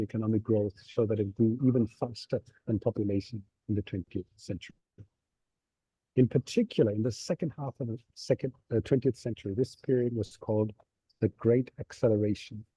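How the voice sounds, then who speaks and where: A middle-aged man from Denmark giving a relaxed, explanatory webinar presentation over a video call. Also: fairly low pitch, slightly rough, dry recording, rough recording. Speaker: nonprofit executive director giving a talk. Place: seated on stairs of a train station.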